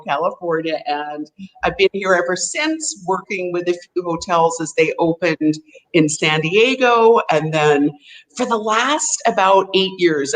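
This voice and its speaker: Slow-talking Canadian woman, low voice. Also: low-quality recording. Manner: rambling, warm storytelling with fillers. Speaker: guest, hotel sales representative. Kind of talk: casual interview chat.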